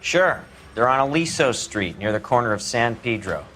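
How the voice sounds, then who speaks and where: An actor playing a matter-of-fact police technician in a video game, brisk and informative. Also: male voice, mid-range voice, very animated, pushed and bright, slight background noise. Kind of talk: scripted video game dialogue.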